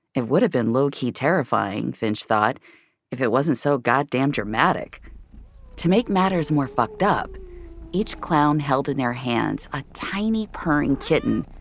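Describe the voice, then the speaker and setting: A woman's voice: extremely low pitch, no echo. A woman narrating scripted science-fiction drama with a wry, noir storytelling manner.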